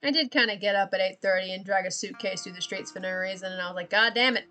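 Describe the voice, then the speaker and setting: A woman's voice: medium pitch, quick pace, bright, forceful tone. A young woman from Australia with a slightly American-drifting Australian accent, chatting casually and rambling with self-deprecating laughs while drawing on a live stream.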